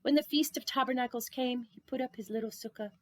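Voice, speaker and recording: A woman reading a children's picture book aloud expressively, drawing out words and dropping into hushed phrases for drama. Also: sits high, fast, dry recording. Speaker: storybook reader.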